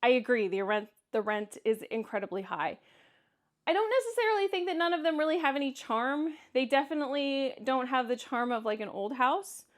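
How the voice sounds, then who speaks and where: A woman's voice: sits high, expressive, a little fast. A woman with a Kansas accent chatting casually in a solo vlog, candid and emphatic as she responds to comments. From Kansas, United States. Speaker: vlogger.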